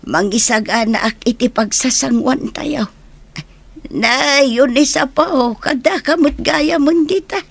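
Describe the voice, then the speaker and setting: An elderly mother and grandmother in a radio drama. She speaks very slowly, with a gravelly creak in a fairly high voice. Her manner is gentle and counselling. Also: no room sound, full character voice. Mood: welcoming.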